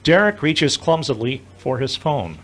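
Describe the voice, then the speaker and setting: A man's voice: medium pitch, very animated, unhurried, fairly bright tone. A man reading scripted scene narration for an audio drama.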